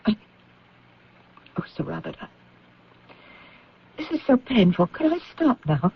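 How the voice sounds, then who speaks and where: An actress playing an anxious, grieving widow in a radio drama, speaking haltingly and earnestly. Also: female voice, medium pitch, big pitch swings, vocal fry, noisy background. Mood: pained, halting. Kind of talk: old-time radio drama acting. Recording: muffled radio drama recording.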